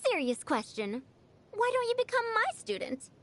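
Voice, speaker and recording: A proud, bossy scholar from a video game, centuries old yet girlish. Her voice is high and swoops with animated, pompous lecturing. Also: moderate pace, full character voice. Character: youthful-sounding, proud, bossy ancient woman scholar. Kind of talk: video game character voice lines.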